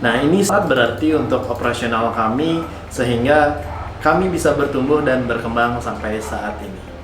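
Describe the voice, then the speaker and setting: A man's voice: medium pitch, animated, normal speed. Man in an echoey room. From Bali, Indonesia. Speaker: startup founder and CEO being interviewed. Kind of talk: explanatory interview answers. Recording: roomy echo with music behind.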